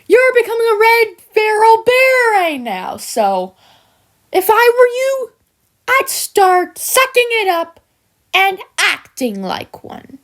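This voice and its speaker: A woman voicing a smug, villainous cartoon sheep creature who taunts in a theatrical, mocking manner with drawn-out words and hesitant asides. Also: very high voice, very animated, a bit bright, exaggerated voice. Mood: smug, taunting. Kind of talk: scripted character monologue for a fan voice-over.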